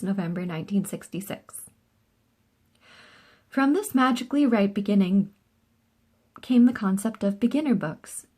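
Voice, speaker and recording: A woman reading a children's book aloud in an expressive, animated storytelling style with short asides. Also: mid-range voice. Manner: animated, acts out dialogue.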